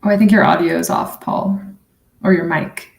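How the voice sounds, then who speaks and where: A woman's voice: lowish voice, fairly even intonation, moderate pace, vocal fry, echoey room. A woman attorney from New Mexico moderating a live panel in a friendly way, with frequent ums. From Navajo Nation, New Mexico.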